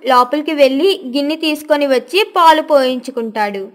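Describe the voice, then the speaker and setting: A woman's voice: sits high, moderate pace. A woman narrating a scripted moral story for children.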